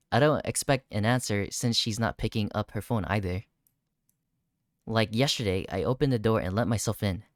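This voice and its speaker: Gaming streamer, mid-pitched voice, visual novel read-aloud, startled asides, dry close recording. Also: dry recording. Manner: reads dialogue aloud, breaking into startled reactions. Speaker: video game streamer.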